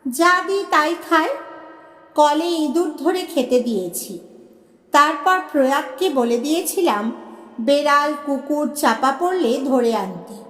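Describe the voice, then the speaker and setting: A woman storyteller voicing a grave, knowing male plant collector who explains things slowly and deliberately in story dialogue. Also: high voice, expressive, fairly bright tone. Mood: matter-of-fact, ominous. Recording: roomy echo with long reverb.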